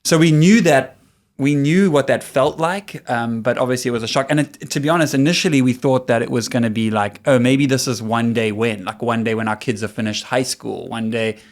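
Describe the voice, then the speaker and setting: A man in his forties with a South African accent, a pastor telling his story as a guest in a casual, relaxed podcast chat. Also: medium pitch, fairly quick. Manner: relaxed, storytelling, laughs easily. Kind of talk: casual conversational interview.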